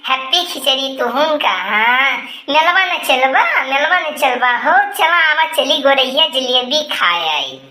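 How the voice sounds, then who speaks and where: A woman's voice: high voice, animated, a little slow, pushed and bright, roomy sound, exaggerated voice. A woman playing a sassy, pouty village gossip in a comic Awadhi cartoon, teasing and complaining in rustic chatter. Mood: chirpy, teasing.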